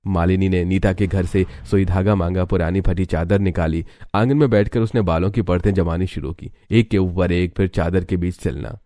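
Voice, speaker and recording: A man's voice: deep voice, fairly even intonation, moderate pace, few pauses, warm tone. A man narrating a scripted Hindi cartoon story.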